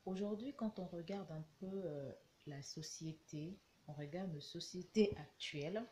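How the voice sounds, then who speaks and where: A woman vlogger with a cold, talking casually to camera and giving her view with slow, hesitant, reflective phrasing. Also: fairly low pitch, mellow, slight background noise. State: has a cold.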